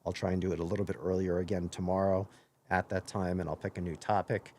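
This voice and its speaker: A hoarse man hosting his own live stream, talking earnestly in a rambling way and often correcting himself mid-sentence. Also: deep voice, little pitch movement, quick pace, a little mellow, a little hiss or hum. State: hoarse after illness. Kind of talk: casual live-stream monologue.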